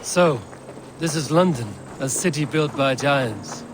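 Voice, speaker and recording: A man playing a stern, proud Viking raider in a video game, speaking in a blunt, measured way. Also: medium pitch, lively intonation, background noise. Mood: measured, confident. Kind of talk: video game cutscene acting.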